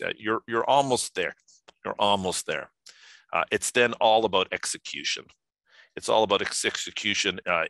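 An older man giving a conference talk over a video call, speaking haltingly with many filler sounds. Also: low-pitched, vocal fry, pushed and bright, no room sound. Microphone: video call webcam.

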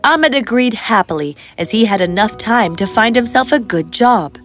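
A woman's voice: on the high side, expressive, normal speed, a bit bright, soft background music. A woman reading a scripted fairy tale as its narrator.

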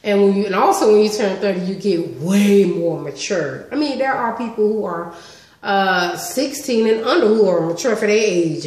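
Chatty thirty-year-old woman in echoey room. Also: mid-range voice. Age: 30s. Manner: chatty, animated with lots of hand gestures. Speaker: vlogger. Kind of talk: casual story-time vlog. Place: living room indoors at home. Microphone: webcam close up.

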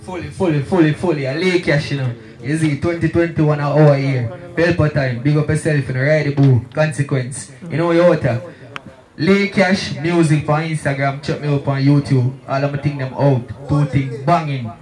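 A young man with a Jamaican accent, an energetic, boastful performer doing hype talk into a stage microphone. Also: on the high side, moderate pace. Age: young adult. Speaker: dancehall artist. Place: outdoor night stage. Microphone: handheld microphone held close. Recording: echoey live PA, loud music. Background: loud hip hop music.

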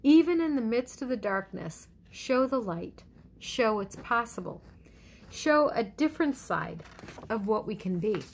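A middle-aged woman pastor giving a conversational sermon at home in a measured, reflective way with deliberate pauses. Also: a little high, animated, breathy edge. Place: indoors in a living room at home. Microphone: phone or webcam at arm's length.

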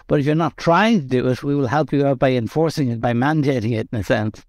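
A man's voice: mid-range voice, fast talker, darkish tone, no room sound, clean studio sound. A man as a guest expert in an interview, explaining steadily and repeating phrases for emphasis. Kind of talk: conversational interview.

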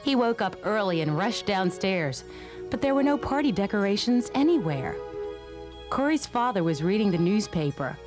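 A woman's voice: mid-range voice, sing-song, moderate pace, music in the background. A woman reading scripted narration for a children's storybook. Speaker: storybook reader.

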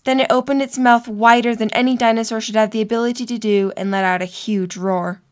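A woman's voice: a little high, fairly even intonation, a little fast. A woman narrating a scripted story for an audio drama.

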